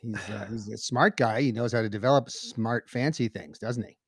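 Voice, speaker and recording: Male podcast co-host, medium pitch, straight-faced and earnest, halting casual delivery, very dry recording. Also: slightly rough, no echo. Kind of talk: casual scripted-premise banter.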